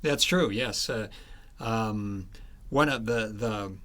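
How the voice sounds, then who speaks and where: A man with a lowish voice speaks as an interviewed author. He explains things conversationally, pausing and repeating words as he goes. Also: fairly bright tone.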